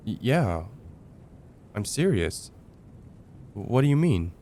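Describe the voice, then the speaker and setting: Man acting as nervous lovesick guy friend, asmr roleplay, warm relaxed voice, halting n sincere, faint rain noise in the back. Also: fairly low pitch. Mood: confessing, tender. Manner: halting, stammering, earnest. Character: lovestruck young male heartbreaker friend, nervous and earnest. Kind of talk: ASMR roleplay, intimate dramatic monologue.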